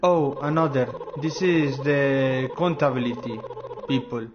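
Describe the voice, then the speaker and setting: A man's voice: medium pitch, fairly even intonation, unhurried, muffled recording, noisy background. A man chatting casually in broken English while playing a game, tossing in slang. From Romania. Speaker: gamer streamer. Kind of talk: casual gaming chat.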